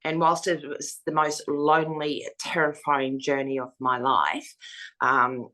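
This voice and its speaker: A woman with a husky voice, frankly recounting her own experience as an interview guest. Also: deep voice, little pitch movement, unhurried, bright, forceful tone. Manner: frank, matter-of-fact storytelling. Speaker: foundation founder, interviewee. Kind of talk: personal testimony in an interview.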